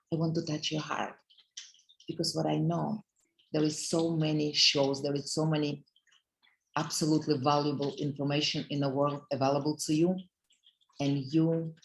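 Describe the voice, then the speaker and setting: A woman from Russia, middle-aged, speaks with a strong Russian accent and a gravelly edge in her voice. Her pitch stays fairly flat as she earnestly addresses listeners on a video call. She sounds heartfelt and speaks directly to her audience. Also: slight background noise, rough recording. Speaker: motivational business speaker. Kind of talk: heartfelt motivational talk.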